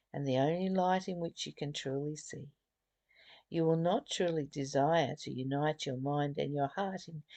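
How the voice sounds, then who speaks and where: A woman giving a reflective spiritual lesson, reading and explaining in deliberate, emphatic phrases with pauses and repetition. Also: medium pitch, creaky, a little mellow, no room sound. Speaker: spiritual study teacher.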